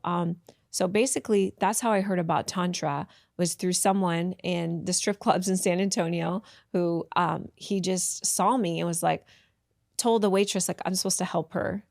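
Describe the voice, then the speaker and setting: A woman's voice: lowish voice, creaky, no echo, clean studio sound. A woman guest telling her life story in a candid, reflective way, often restarting sentences. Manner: frank, reflective, with restarts and soft asides. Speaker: podcast guest, tantra teacher.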